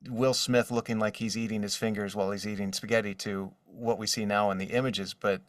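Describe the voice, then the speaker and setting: A man's voice: on the low side, a bit bright, no echo, clean studio sound, recorded from a distance. A man speaking as a voice actor guest in a casual podcast interview, conversational and given to quoted asides.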